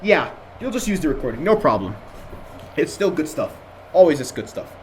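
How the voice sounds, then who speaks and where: A man's voice: fairly high pitch, sing-song, moderate pace, slight background noise, low-quality recording. A young man from Jordan with an American accent, rambling casually as a student lecturer on an online voice call. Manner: chatty, rambling, with many fillers and restarts. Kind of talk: casual online review session. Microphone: computer mic.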